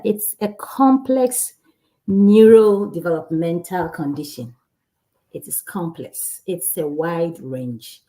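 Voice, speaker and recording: A woman from Ghana with a low, husky voice, speaking slowly and calmly. She answers as an interview guest in a relaxed way, often laughing and repeating words. Her tone is mellow and unhurried. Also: low-quality recording. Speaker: guest, cardiac sonographer. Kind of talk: casual interview.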